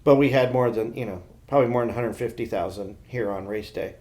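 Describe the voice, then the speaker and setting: A man's voice: mid-range voice, quick pace, darkish tone. Male American co-host, middle register, informal conversational delivery. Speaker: podcast co-host. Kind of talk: casual chat.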